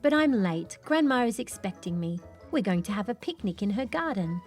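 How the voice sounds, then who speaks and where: A woman's voice: mid-range voice, lively intonation, moderate pace, a little mellow, lightly acted. This is a plucky little girl from a storybook, voiced by a woman narrator. She is polite and cheerful but stands her ground with spirit. Faint music plays underneath. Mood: chatty.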